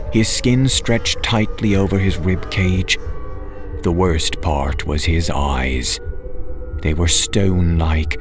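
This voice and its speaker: A man narrating a scripted first-person horror audiobook in a measured, dramatic way. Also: low voice.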